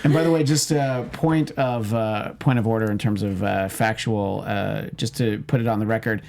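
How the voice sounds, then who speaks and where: A man's voice: lowish voice, steady tone, normal speed, creaky, darkish tone, slight background noise. A man co-hosting a casual panel discussion, wordy, with mock-formal asides mixed into loose talk. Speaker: panelist and co-host.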